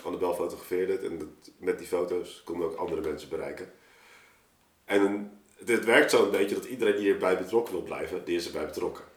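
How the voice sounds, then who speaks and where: Low-voiced man in an echoey room. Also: brisk. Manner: digressive, conversational, interrupts himself. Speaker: artist, story maker on a podcast. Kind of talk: casual chat, explaining his creative process. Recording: roomy, echoing room sound.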